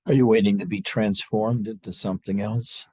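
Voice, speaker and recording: A man leading a guided meditation as a spiritual teacher, speaking to a group in a slow, halting, unscripted way with long pauses. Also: fairly low pitch, steady tone, darkish tone, husky, dry recording.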